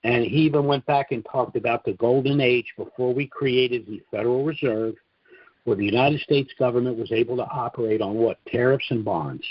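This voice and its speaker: Husky man briefing on a dull-sounding call. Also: mid-range voice, normal speed, no room sound. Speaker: conference call host and presenter. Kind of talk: informal monologue briefing on a conference call. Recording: dull, lo-fi conference call audio.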